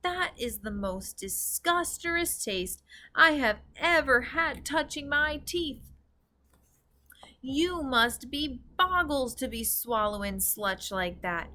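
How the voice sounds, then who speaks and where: Storybook giant, acted by a woman, brutish, male, man-eating, scornful, roaring, forceful, mangled comic grammar. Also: high voice, lively intonation, takes it slowly, voice pushed up, full character voice. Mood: furious, disgusted.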